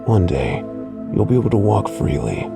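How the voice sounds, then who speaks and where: A man playing a tender, guilt-torn lover in an intimate audio roleplay, speaking in a hushed, halting, soothing way. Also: lowish voice, sing-song, gravelly. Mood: fond, soothing. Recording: music under the voice.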